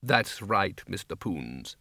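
A friendly, homely dwarf gardener with a lowered, soft voice, voiced by a male narrator. It is recorded dry and close. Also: very animated, takes it slowly, no room sound, full character voice. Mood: cheerful.

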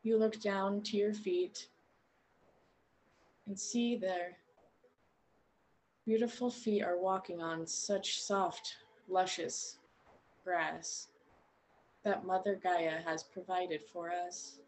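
Slow, low-voiced woman guiding meditation. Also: fairly even intonation, poor audio. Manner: gentle, unhurried phrasing with long pauses. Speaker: meditation guide. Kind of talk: guided meditation narration.

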